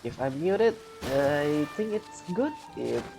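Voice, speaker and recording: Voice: medium pitch, very animated, slow pace, vocal fry, over music. A woman giving casual, offhand commentary while streaming a video game.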